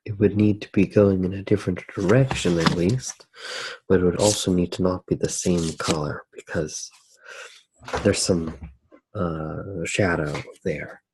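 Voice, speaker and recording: A man narrating his drawing for ASMR in a soft-spoken, unhurried way, musing aloud as he works. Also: low voice, fairly even intonation, noisy background. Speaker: ASMR artist.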